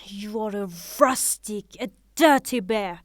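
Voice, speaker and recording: A woman's voice: mid-range voice, slow, no room sound, clean studio sound, natural voice. An actress playing a proud, fiery widowed lady in a theatrical audio drama, haughty and declamatory. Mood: scornful, furious.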